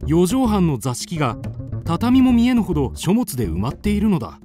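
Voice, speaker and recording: Earnest young male amateur sleuth, radio drama; very expressive, deliberate, polite, slightly high voice. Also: soft background music. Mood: tense narration. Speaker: narrator and lead character. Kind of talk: radio drama acting and narration.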